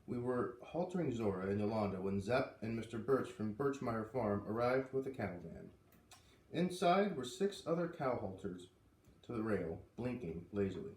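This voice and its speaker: A man reads a children's story aloud with expression, giving the dialogue a light lift. His voice sits at some distance from the microphone, with clear room echo around it. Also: lowish voice, a little mellow, a little hiss or hum. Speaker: reader of a children's book read-aloud series. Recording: roomy, voice away from the microphone.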